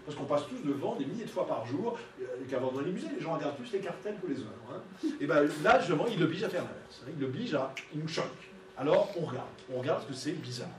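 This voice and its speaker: A man's voice: a little high, lively intonation, quick pace. A man giving an interactive art lecture, conversational and peppered with tag questions. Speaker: art lecturer leading a discussion. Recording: echoey room, distant mic, audience murmur.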